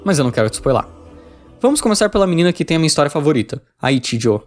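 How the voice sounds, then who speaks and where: Higher-pitched Brazilian man narrating briskly over music. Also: big pitch swings. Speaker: video essay narrator, game reviewer. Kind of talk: scripted narration with casual slang.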